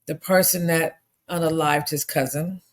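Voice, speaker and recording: A hoarse woman hosting her own podcast, talking in an animated, indignant and emphatic way. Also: low-pitched, unhurried. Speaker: podcast host. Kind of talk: casual monologue podcast.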